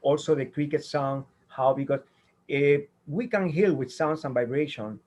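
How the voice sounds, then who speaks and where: Interview guest, male, first speaker, slightly high voice, earnest, rambling. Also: normal speed, a little mellow. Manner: earnest, rambling with many fillers and restarts. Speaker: guest, sound healer and tenor. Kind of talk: interview answers.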